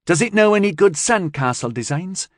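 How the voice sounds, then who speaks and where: An excitable young girl with a raised, bright-sounding voice and a lively, bouncing pitch, as a man puts her on. She talks eagerly and quickly, recorded dry and close. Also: dry recording.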